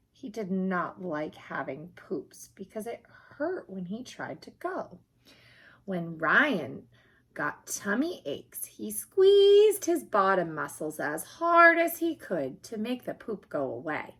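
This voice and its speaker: A woman reading a children's picture book aloud in an expressive, emphatic storytelling manner, with a slightly husky voice. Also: mid-range voice.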